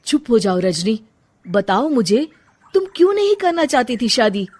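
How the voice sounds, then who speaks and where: A woman voicing a gentle young husband in a cartoon. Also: a little high, very animated, moderate pace. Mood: pleading. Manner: coaxing, pleading.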